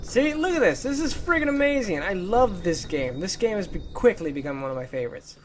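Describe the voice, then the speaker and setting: A young man excitedly and chattily commenting as he plays a video game. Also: sits high, a little fast, a little hiss or hum. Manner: excitable, chatty, swears lightly. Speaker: let's play gamer. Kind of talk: casual gameplay commentary. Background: game music.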